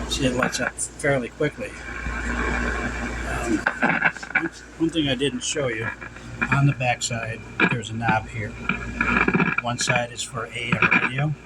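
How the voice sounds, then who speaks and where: A man giving an unscripted, instructional hands-on demonstration of vintage radio work, repeating his cautions as he goes. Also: mid-range voice. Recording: loud hum and background noise.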